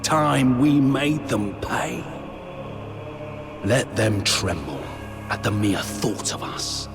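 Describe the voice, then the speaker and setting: Grandiose, slow-speaking demon rebel leader. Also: male voice, medium pitch, expressive. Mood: impassioned, vengeful. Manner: theatrical, oratorical, deliberate emphasis. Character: grandiose, vengeful male demon rebel leader, persuasive and menacing. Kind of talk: dramatic video game voice acting. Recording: music under the voice.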